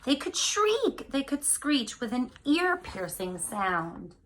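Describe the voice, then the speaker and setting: A woman reading a picture book aloud to children, speaking to them directly with animated, drawn-out emphasis on key words. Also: high voice. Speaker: read-aloud storyteller for children.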